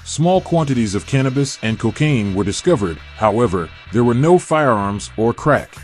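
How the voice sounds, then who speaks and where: A man giving a steady, measured scripted documentary narration. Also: medium pitch, faint music behind.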